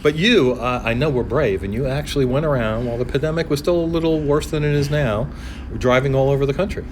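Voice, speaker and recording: A man's voice: mid-range voice, fast talker. A man working as a roving podcast interviewer, talking casually at a conference venue. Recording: noisy ambience of a busy venue. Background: conference ambience.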